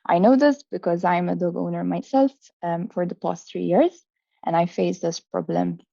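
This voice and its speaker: Creaky-voiced woman pitching, dull call audio. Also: moderate pace, warm tone, no echo. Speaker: startup co-founder. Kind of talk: rehearsed elevator pitch. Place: online video call.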